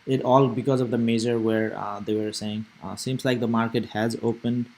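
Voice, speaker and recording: A man's voice: mid-range voice, flat delivery, normal speed, a little mellow. A young man from Nepal, giving casual live commentary on stock charts and rambling aloud with many fillers and restarts. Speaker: stock trading streamer.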